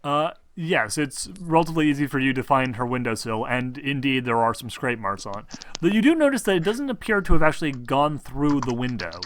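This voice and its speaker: A man improvising narration as game master of a tabletop game, thinking aloud in a halting, conversational way. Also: mid-range voice, sing-song, a bit bright.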